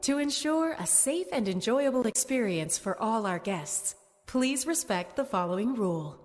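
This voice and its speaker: An actress playing a serenely controlling theme park announcer, giving scripted public messages. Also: female voice, a little high, expressive, moderate pace, lightly acted. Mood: serene, insistent. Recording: echoey announcement over background music.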